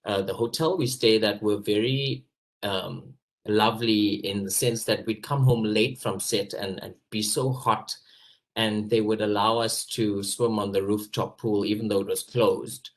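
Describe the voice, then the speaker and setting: A man's voice: fairly low pitch, fairly even intonation, unhurried. Earnest South African man on video call. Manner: earnest, enthusiastic. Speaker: actor. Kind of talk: casual personal recollection.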